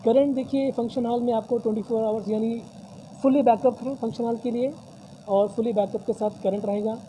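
A man's voice: very high-pitched, steady tone, fairly quick, warm tone, slight background noise, low-quality recording. A man answering reporters' questions at a press conference, formal and promotional, often using religious phrases. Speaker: convention hall representative. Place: decorated hall at a press table. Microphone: many news mics on a table.

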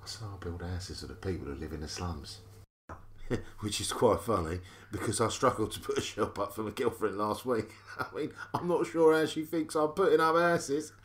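A man's voice: on the low side, animated, normal speed, lightly acted. An actor playing a cheeky, flirtatious Cockney salesman in an audio drama, chatty and cajoling. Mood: sly, confiding.

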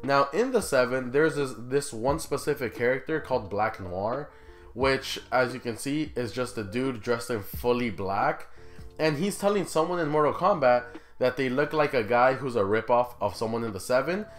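A man's voice: medium pitch, moderate pace. Man chatting casually over background music. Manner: informal, conversational, rhetorical questions to viewers. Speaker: gaming YouTuber, host. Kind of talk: casual video commentary to viewers.

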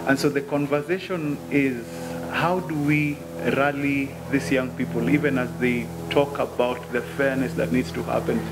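A man's voice: mid-range voice, low-quality recording. A man, a minister and gospel musician, answering at a press conference in an earnest, preacherly way. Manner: earnest, preacherly, quotes scripture. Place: press conference room, indoors. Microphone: table microphone.

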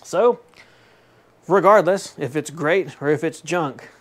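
A man's voice: fairly high pitch, slow. A man in his thirties, chatty and enthusiastic, showing off tools in a small home woodworking shop, often correcting himself with jokey asides. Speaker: woodworking vlogger. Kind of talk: casual show-and-tell vlog. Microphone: fixed camera at desk distance.

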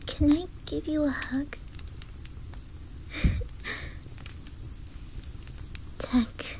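A woman voicing a gentle, timid young boy with an imitated Swedish accent, speaking hushed and hesitantly in an ASMR roleplay. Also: high voice, fairly even intonation, creaky, background noise, exaggerated voice. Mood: happy, eager. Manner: hushed, hesitant, halting, often whispery.

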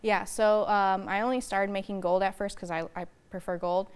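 A woman's voice: medium pitch, fairly even intonation, normal speed, clear, resonant tone. A local artist on a morning TV segment, a young American woman with a clean, resonant voice, explaining things casually. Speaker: interview guest, artist and art teacher. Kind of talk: casual interview answers. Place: TV news studio. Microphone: lapel mic.

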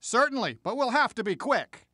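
Formal, lively train conductor. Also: male voice, very high voice, normal speed, no echo, studio quality, full character voice. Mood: brisk, courteous. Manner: formal, courteous, authoritative. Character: brisk, formal middle-aged male train conductor. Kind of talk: animated video game acting.

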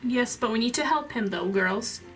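A woman playing a calm mother in a children's play story, gently but firmly instructing small children. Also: on the high side, moderate pace, faint music behind, lightly acted. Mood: gentle. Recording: faint background noise.

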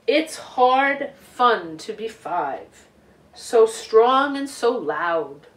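A middle-aged woman reading a picture book aloud to children in an expressive, deliberate way with emphasized words. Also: on the high side. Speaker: children's storytime reader. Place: living room couch, indoors. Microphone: camera a few feet away. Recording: roomy home recording, distant microphone.

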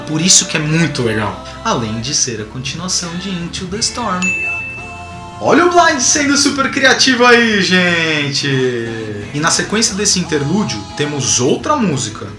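A man's voice: high-pitched, big pitch swings, normal speed, music in the background. A man of about forty with a Brazilian Portuguese accent, hosting a video commentary to camera in an enthusiastic, theatrical manner that addresses viewers directly. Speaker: YouTube channel host. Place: small home room with bookshelves, indoors. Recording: roomy, echoing home recording.

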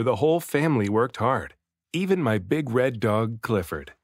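A man reading a children's picture book aloud as an expressive storybook narrator, varying his delivery for emphasis. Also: mid-range voice, dry recording, studio quality. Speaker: children's book reader, dad and voice actor.

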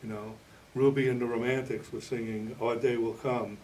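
A man in his sixties explaining things as a television interview guest, in a halting, measured way. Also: medium pitch, flat delivery, warm tone, distant mic, a little hiss or hum, low-quality recording. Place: indoor TV studio, green screen.

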